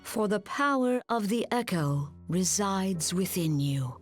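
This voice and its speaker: Slow, solemn woman mystic leader. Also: medium pitch, animated, soft background music. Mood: gentle, assured. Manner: measured, formal, archaic diction. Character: serene, solemn female mystic leader, wise and grave. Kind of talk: scripted fantasy game cutscene acting.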